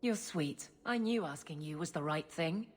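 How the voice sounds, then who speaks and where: An actress playing a blunt, earnest woman guard captain who gets flustered and halting, in cinematic video game dialogue. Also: sits low, lively intonation, breathy edge. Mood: warm, grateful.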